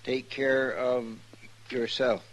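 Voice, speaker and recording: An actor playing an earnest, simple Old West sidekick in a radio western, with a laboured rustic drawl. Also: male voice, medium pitch, flat delivery, close to an everyday voice. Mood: earnest, plodding. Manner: slow, laboured, rustic drawl while reading aloud. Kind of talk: 1950s radio western acting. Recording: noisy old-time radio recording.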